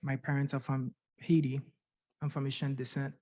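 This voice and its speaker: A man's voice: mid-range voice, level tone, moderate pace, dark tone. A middle-aged man from Canada giving an earnest personal testimony at a conference, repeating words and asking rhetorical questions. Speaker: conference speaker sharing his patient story. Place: university conference room.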